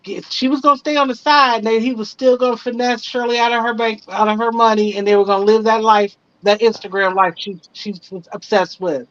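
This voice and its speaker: A woman's voice: on the high side, fairly even intonation, brisk, hardly any pauses. A woman panelist chatting casually and gossiping on a livestream. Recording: slightly muffled livestream audio.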